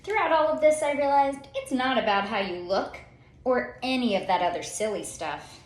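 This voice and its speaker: Animated, high-pitched woman reading a picture book. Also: slight background noise. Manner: theatrical, with dramatic pauses and drawn-out words. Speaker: children's read-aloud storyteller. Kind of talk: expressive picture-book storytelling. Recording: roomy, echoey sound.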